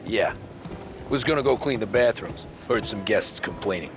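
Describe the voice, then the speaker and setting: An actor as a low-voiced, terse mobster. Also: male voice, expressive, normal speed, music in the background, close to an everyday voice. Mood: guarded. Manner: terse, menacing. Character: tough, guarded male mobster working undercover. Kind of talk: video game cutscene acting.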